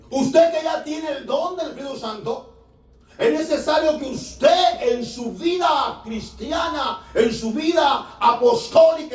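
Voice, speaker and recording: A man, a pastor, preaching a fervent sermon that rises to shouts, recorded in a church from off the mic. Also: very high-pitched, distant mic. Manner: fervent preaching, rising to shouts, filler "hermano". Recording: echoey church room, voice from off-mic.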